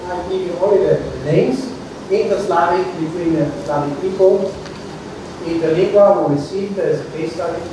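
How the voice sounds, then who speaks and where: A man's voice: sits high, normal speed, background noise. A man whose first language is Italian, giving a university lecture to a seminar audience, explaining step by step and often checking in with "okay?". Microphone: distant from the recording mic. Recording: very echoey room recorded from far off.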